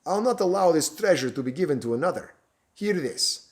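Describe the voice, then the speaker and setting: A man's voice: fairly high pitch, expressive, fairly quick, pitched above the performer's own voice. A man voicing a cold, hissing Romanian stranger. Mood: menacing.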